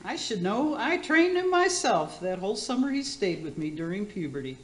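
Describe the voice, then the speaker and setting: A woman's voice: medium pitch, big pitch swings, normal speed, lightly acted. A woman playing a tipsy, bawdy middle-aged auntie who hosts a radio cooking show in a comedy play, rambling and gushing with slurred word slips. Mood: brash, teasing. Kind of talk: community theater radio comedy acting. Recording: roomy, echoing space.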